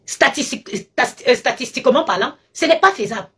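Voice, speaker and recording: A woman with a fairly high, husky voice lectures her viewers on a live stream. She talks emphatically and hammers each point by repeating it. It sounds like a phone recording. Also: expressive, a little fast. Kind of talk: animated live-stream rant.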